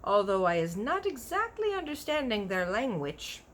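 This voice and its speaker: Woman acting as kindly storybook giant, proud, earnest, folksy broken grammar, animated swoops, faint background noise. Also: a little high, normal speed, pitched above the performer's own voice. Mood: wonder, earnest. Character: big-eared kindly giant, earnest, proud, easily offended. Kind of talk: animated children's storybook character voice.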